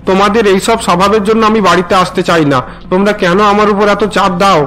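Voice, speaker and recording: High-voiced sulky teenage cartoon son, acted by a man. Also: steady tone, quick pace, a bit bright, soft background music. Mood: defensive. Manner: complaining, self-justifying. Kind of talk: melodramatic Bengali cartoon dubbing.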